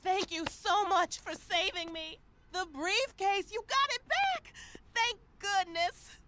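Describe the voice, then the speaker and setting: An extremely high, swooping woman's voice, as a grateful but secretive rescue victim. Loud noise and clicking sit behind her. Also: expressive, slow pace, heavily acted. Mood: relieved, grateful. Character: grateful, secretive rescued female game character. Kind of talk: video game cutscene voice acting.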